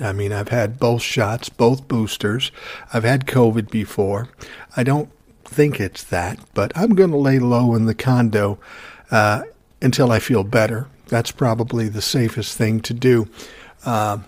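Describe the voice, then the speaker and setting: A man in his sixties with a cold and a scratchy, hoarse throat, hosting a podcast in a calm, measured, plainspoken way, sitting close to the mic. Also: mid-range voice. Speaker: podcast host. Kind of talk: casual solo podcast talk. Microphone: close to the microphone.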